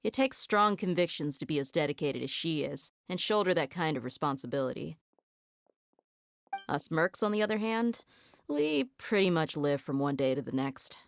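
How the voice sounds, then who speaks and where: Low-voiced, cool mercenary. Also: female voice, animated, moderate pace, vocal fry, dry recording. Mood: relaxed. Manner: casual, cool, wry. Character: easygoing, worldly female desert mercenary. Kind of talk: video game voice acting.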